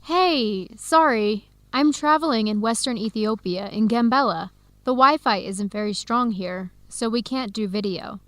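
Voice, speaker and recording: Woman acting determined young American woman, high voice. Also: unhurried, no room sound, close to an everyday voice. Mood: supportive, interested. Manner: clear, simple scripted sentences. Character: Helen, determined young American woman searching for birth family. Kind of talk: English-learning radio drama acting.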